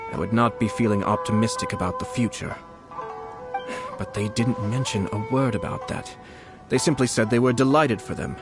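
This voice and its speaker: An actor playing a composed, polite young nobleman in a video game, shrewd and quietly mischievous, speaking in measured phrases. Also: fairly low pitch, close to an everyday voice. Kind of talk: video game character acting.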